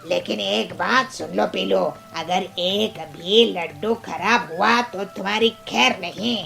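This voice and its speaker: A woman's voice: medium pitch, slow, creaky, a bit bright, heavily acted. A woman voicing a stern, threatening bird customer in a children's cartoon, blunt and commanding. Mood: stern, demanding.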